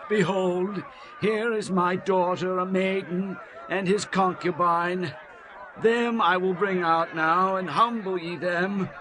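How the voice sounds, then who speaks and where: An actor playing a desperate old householder in a scripture drama, imploring slowly in archaic speech, with noisy ambience behind. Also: male voice, high-pitched, sharp tone, full character voice. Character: pleading old householder, desperate host facing a mob.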